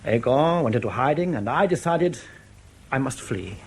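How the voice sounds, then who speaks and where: A man's voice: mid-range voice, lively intonation, husky. An actor in a radio drama playing an anxious, proud East German official in his thirties who has defected, speaking formally and carefully. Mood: earnest, grave. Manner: formal, careful, precise diction with hesitant pauses.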